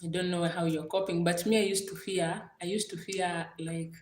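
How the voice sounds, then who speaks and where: A woman from Kenya with a Kenyan accent, chatting casually on a livestream, warm and gracious toward her viewers and repeating her thanks again and again. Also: on the low side, steady tone, normal speed, reverberant. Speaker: livestream host.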